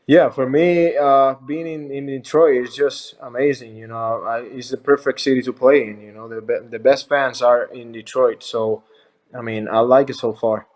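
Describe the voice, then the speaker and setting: A young man from Rico, answering interview questions as a baseball pitcher in a halting, friendly way. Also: a little mellow. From Puerto Rico. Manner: halting, many fillers and restarts, friendly.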